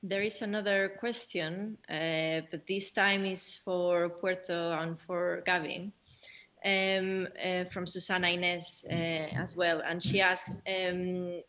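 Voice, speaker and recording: A woman's voice: on the low side, flat delivery, slow pace, a bit bright. A woman moderating an audience Q&A, passing questions on to the guests.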